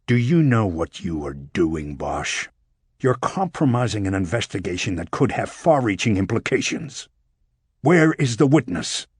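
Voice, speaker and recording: A man's voice: fairly low pitch, big pitch swings, unhurried, slightly rough, dry recording, studio quality, exaggerated voice. A man voicing a stern, confrontational male federal agent in an audiobook, curt and accusing. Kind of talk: audiobook character dialogue.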